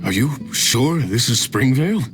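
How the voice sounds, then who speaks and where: Man playing a bewildered, insistent villager, lively pitch. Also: moderate pace, over loud music, lightly acted. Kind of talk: video game character dialogue.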